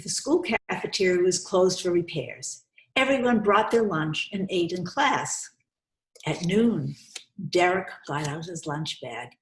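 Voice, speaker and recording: Unhurried woman reading to children, roomy echo. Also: on the low side. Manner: expressive, coaxing, emphatic, pauses to question listeners. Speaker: children's author reading aloud. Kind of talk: interactive storybook read-aloud for young children. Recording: roomy, echoey sound.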